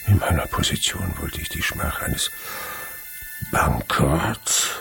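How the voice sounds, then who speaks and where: An actor playing an old, miserly winegrower, wily and falsely humble, in a German radio drama. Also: male voice, rumbling low, expressive, slow, fairly bright tone, soft background music, full character voice. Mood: grave, reading. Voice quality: gravelly.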